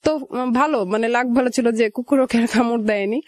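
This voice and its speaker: A woman's voice: on the high side, fast, a little mellow, dry recording. A young woman with a Bangladeshi accent, telling a personal story conversationally in an interview, slipping into remembered dialogue. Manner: conversational, quotes past dialogue as she narrates. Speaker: guest telling own life story. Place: radio studio.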